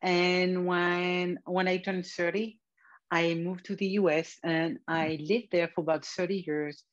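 A woman, giving brief, soft-spoken answers as an interview guest. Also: on the low side, level tone, measured pace. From France. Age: 60s. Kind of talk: personal interview. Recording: dull lo-fi audio.

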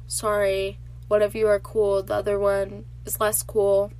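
A woman's voice: medium pitch, monotone, unhurried, darkish tone. A teenage girl hosting a casual solo podcast, nasal and congested from allergies, chatty, with lots of filler words. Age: teens. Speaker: podcast host.

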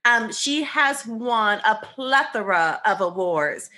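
A woman's voice: on the high side, lively intonation, slow, bright, forceful tone. A woman co-hosting a podcast, interviewing her guest with enthusiasm and lavish praise. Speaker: podcast co-host.

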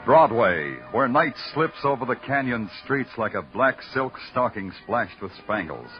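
Hard-boiled American police detective male actor, world-weary and poetic, widely swinging pitch, dull vintage radio drama sound with music. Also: animated, close to an everyday voice. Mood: brooding. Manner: brooding, poetic, deliberate delivery. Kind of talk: 1940s-50s radio noir acting.

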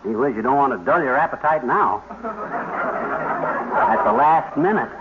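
An actor playing a bumbling, boastful husband in old radio comedy style, with folksy, slangy, wheedling talk. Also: male voice, medium pitch, lively intonation, normal speed, over a noisy crowd, close to an everyday voice. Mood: coaxing. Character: bumbling, boastful, cheerful husband cooking in the kitchen. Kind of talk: 1940s radio comedy acting. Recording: noisy muffled old radio recording.

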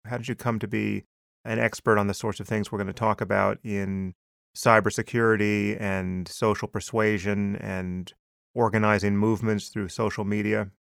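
A man hosting an interview podcast, asking questions in a measured, thoughtful way. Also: lowish voice, flat delivery, vocal fry, a bit bright, no room sound, clean studio sound. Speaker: podcast host.

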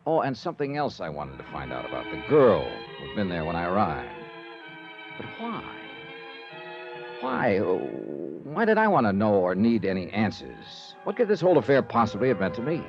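An actor playing a wry insurance investigator narrating in the first person in a 1950s radio drama. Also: male voice, low-pitched, huge pitch swings, normal speed, creaky, exaggerated voice. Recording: dull lo-fi 1950s radio with music.

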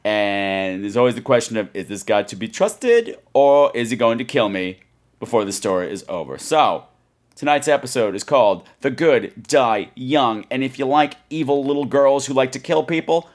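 Man, mid-pitched voice, podcast host, informal rambling, wry, self-deprecating. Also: expressive, moderate pace. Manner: chatty, self-deprecating, wry, uses mild swearing. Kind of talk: casual, rambling chat to listeners.

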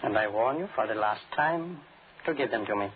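A man's voice: on the low side, lively intonation, lightly acted. Coldly courteous doctor, a man acting, tinny old radio. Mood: calmly menacing. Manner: formal, measured, politely threatening. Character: cold, courteous doctor turned menacing killer. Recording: scratchy, tinny 1940s radio broadcast.

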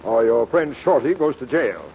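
An actor playing a wealthy, imperious uncle in 1940s radio drama style, smug and formal yet uneasy. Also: male voice, mid-range voice, lively intonation, normal speed, lightly acted. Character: wealthy, domineering, uneasy uncle under death threats. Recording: muffled, hissy old radio recording.

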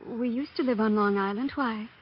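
A woman's voice: a little high, moderate pace, breathy edge. A woman acting as a poised, wealthy young client in an old radio detective drama, speaking politely and precisely. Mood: concerned, poised. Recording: muffled old radio recording.

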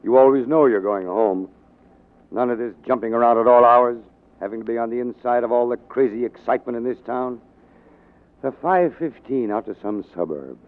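An actor playing a tyrannical, scheming newspaper managing editor in a sarcastic, bossy 1940s radio drama style. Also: male voice, mid-range voice, close to an everyday voice. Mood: mock sympathy. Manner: sarcastic, bossy, fast-switching from barking to wheedling. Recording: muffled narrow-band 1940s radio recording.

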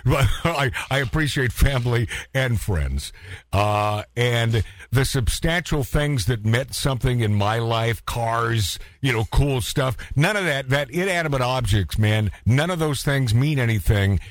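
A man hosting a casual radio interview, joking and mock-stern in a bantering, halting way with repeated phrases. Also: medium pitch, animated, dry recording. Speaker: radio show host interviewing a guest.

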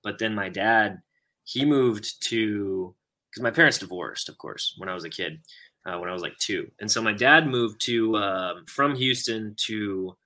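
A man hosting a casual podcast, talking in an easy, rambling way with plenty of fillers and quick laughs. His voice sits fairly low, but it has a bright, forceful edge. He grew up in Texas. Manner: chatty, laughs easily, lots of fillers. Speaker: podcast host. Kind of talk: casual conversation.

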